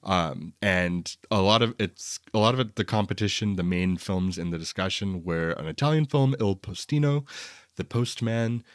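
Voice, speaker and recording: Low voiced guy cohosting a movie podcast, casual n analytical, rambly, dry sound. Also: moderate pace, no echo. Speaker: podcast co-host. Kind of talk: casual film review chat.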